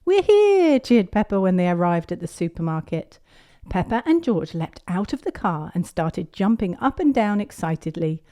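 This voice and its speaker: Animated woman reading a children's story. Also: fairly low pitch, normal speed. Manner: expressive, clearly paced delivery of picture-book narration. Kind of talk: animated read-aloud storytelling for young children.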